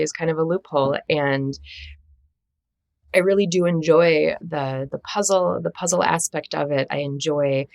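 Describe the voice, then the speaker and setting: A woman's voice: low-pitched, no room sound. A woman, a translator and editor answering interview questions in a reflective, self-deprecating way, laughing often and restarting phrases. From United States.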